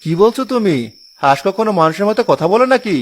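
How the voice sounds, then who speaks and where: A man playing a put-upon village husband in a cartoon story, speaking in a plaintive, expressive manner. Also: high-pitched, fast. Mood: surprised. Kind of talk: Bengali cartoon story dialogue.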